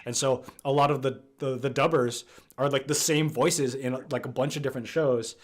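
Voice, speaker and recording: A man hosting a podcast, chatting in a relaxed way, cursing casually and laughing as he tells stories. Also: mid-range voice, normal speed. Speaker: podcast host. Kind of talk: casual chat.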